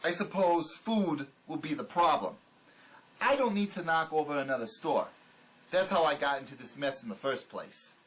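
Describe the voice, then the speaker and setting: A man acting the part of a gruff, skeptical, sarcastic escaped convict and armed robber in a drama. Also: fairly high pitch, lively intonation, normal speed, a bit bright. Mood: wary, grumbling. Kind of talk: dramatic script performance.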